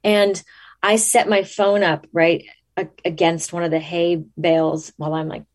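A woman actress talking casually in an interview, thinking aloud and restarting her sentences, her pitch jumping up when she makes a point. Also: flat delivery, moderate pace. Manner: rises in pitch when emphatic.

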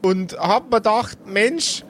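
A man's voice: high-pitched, lively intonation, slow pace, slight background noise. A man voicing a naive, chatty schoolboy with a Bavarian accent who stammers and rambles, in an improvised tabletop audio play. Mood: earnest, flustered.

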